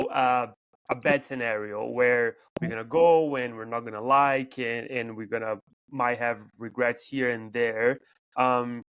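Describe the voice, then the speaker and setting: A young man from Brazil hosts a relaxed podcast interview in English, his second language, with a clear Brazilian accent. His voice sits at an ordinary middle pitch for a man. He talks haltingly, doubling words and restarting sentences as he gathers his thoughts. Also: no room sound. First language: Portuguese. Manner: halting, repeats words while searching for phrasing. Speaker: podcast host. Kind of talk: casual interview chat.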